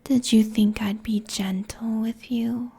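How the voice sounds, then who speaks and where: A woman plays a merciless succubus queen in an intimate ASMR roleplay. Her voice is husky and dark, drawn out very slowly with a creaky edge. She sounds sultry and commanding, right up at the microphone. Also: on the high side, fairly even intonation, exaggerated voice. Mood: cold, domineering. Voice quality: breathy, whispery, husky. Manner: slow, commanding, sultry, deliberate. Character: seductive, merciless succubus demon queen draining mortal souls. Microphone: close binaural microphone.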